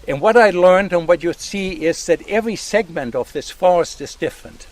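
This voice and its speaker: An older man speaks with a German accent, slightly high in pitch for a man. He explains his woodland in a halting, searching way, full of pauses and restarts, outdoors among the trees. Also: animated, no echo. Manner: halting, many fillers and restarts. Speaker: landowner. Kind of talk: casual on-site explanation. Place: outdoors in a forest.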